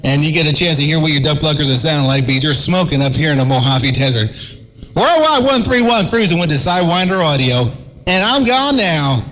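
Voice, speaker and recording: A man's voice: a little high, very animated, a little fast, a bit bright, slight background noise. A man chatting on his home radio station, laid-back and laughing often, calling people "man". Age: adult, father of a five-year-old. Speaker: radio operator hosting the stream. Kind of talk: casual radio chat. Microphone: radio base station mic.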